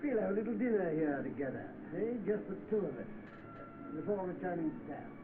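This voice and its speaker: An actor with a British accent playing a scheming, lecherous rich gentleman in an old film, pompous and oily. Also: high voice, animated, brisk. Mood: oily, coaxing. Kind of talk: vintage film acting. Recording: tinny, noisy old film soundtrack.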